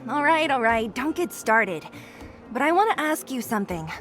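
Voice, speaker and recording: Tough, lively-pitched young rebel fighter. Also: female voice, normal speed, soft background music. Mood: blunt, impatient. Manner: blunt, impatient. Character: brusque, tough young female resistance fighter. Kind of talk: video game dialogue acting.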